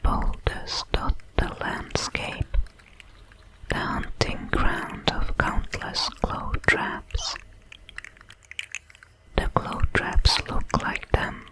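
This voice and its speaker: A woman voicing a calm, watchful alien predator in a breathy whisper, with formal, measured diction for an ASMR audio roleplay. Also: low-quality recording. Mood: calm, observant. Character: many-legged alien predator, calm, watchful, protective, coldly curious.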